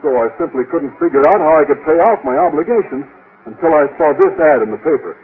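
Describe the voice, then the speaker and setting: A man's voice: on the high side, lively intonation, fast, breathy edge. Tinny old-radio shy man. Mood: sheepish explaining. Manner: mild, polite, hesitant. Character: shy, earnest, naive biology teacher, a man. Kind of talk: 1950s radio comedy acting.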